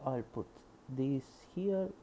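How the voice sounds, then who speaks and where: A man with a Spanish accent reads technical text aloud in a very dark, mellow voice. Between passages he mutters quietly to himself while testing the code. A faint hum sits under the recording. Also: mid-range voice, expressive, takes it slowly. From Spain. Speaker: programmer reading a book aloud. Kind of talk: casual screen-recorded reading and coding.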